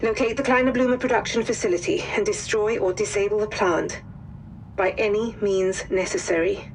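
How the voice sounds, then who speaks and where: An actress plays a woman intelligence officer delivering a scripted wartime game briefing. Her mid-pitched voice is formal, measured and grave, with faint noise behind it. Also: steady tone, lightly acted, low-quality recording. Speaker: mission briefing narrator.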